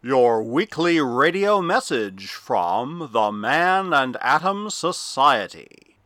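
Slow, bright-voiced male announcer. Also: mid-range voice, big pitch swings, no echo. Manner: slow, drawn-out, declamatory. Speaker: show announcer. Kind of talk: formal show intro announcement.

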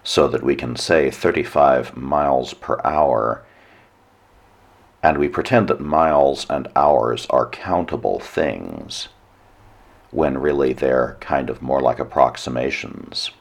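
A hoarse man hosting a weekly radio talk show, giving a measured lecture with dry asides. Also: rumbling low. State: hoarse, nearly lost voice. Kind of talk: solo radio talk monologue.

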